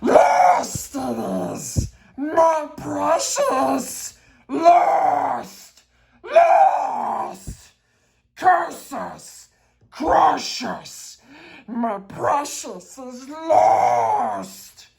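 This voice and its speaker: A woman's voice: high voice, big pitch swings, extremely slow, gravelly, a little mellow, voice pushed up, exaggerated voice. A woman voicing a wretched, hissing male cave creature who whines and talks to himself. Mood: wailing. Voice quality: hissing, shrieking. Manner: talks to himself, wailing and whining.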